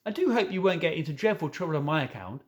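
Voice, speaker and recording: A man reading a storybook in the part of an earnest, kindly, innocent young girl, gentle and questioning. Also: on the high side, expressive, fast, natural voice. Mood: polite.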